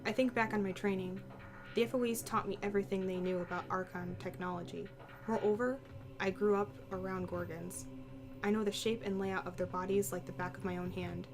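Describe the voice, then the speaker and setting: A woman's voice: medium pitch, steady tone, fairly quick, over music. A woman reading visual novel text aloud as dramatic first-person narration.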